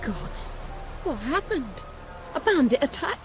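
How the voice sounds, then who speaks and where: A woman's voice: sits high, sing-song, slow pace, over music, exaggerated voice. A woman playing a careworn, blunt old peasant grandmother in a video game. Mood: bitter. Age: older. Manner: rustic, scolding, blunt. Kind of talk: video game cutscene acting.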